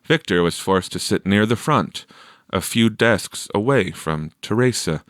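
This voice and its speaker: Low-voiced, unhurried male story narrator. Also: lively intonation, fairly bright tone, no room sound, studio quality. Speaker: audiobook reader.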